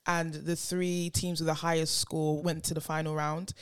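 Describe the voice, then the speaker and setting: A woman co-hosting a podcast in casual chat, animated and laughing often, her voice worn from shouting. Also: sits low, moderate pace, clear, resonant tone. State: losing her voice. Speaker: podcast co-host.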